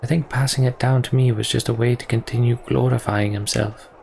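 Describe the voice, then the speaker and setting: A man playing a candid, disillusioned young prince in a fantasy game, speaking in a measured, reflective way. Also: medium pitch, steady tone, vocal fry, a little mellow, slight background noise, close to an everyday voice. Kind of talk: voiced game dialogue reading.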